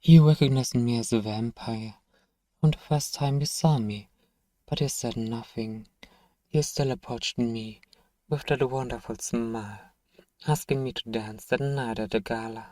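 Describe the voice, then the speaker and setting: A man voicing a thousand-year-old vampire, nostalgic, tender and sorrowful, in a soft, measured ASMR role-play delivery that dips toward whispers. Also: mid-range voice, fairly even intonation, no echo, recorded from a distance, natural voice. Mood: fond, tender.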